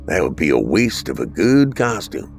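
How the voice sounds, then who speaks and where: A man's voice: sits low, big pitch swings, normal speed, gravelly, faint music behind, heavily acted. A man voicing a casual, skeptical older teenage brother in a story, slangy and exasperated. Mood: skeptical annoyance.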